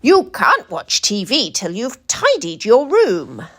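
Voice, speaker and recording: A woman's voice: a little high, huge pitch swings, takes it slowly, full character voice. A British woman playing a stern, cross mother from a picture book, speaking in a scolding manner.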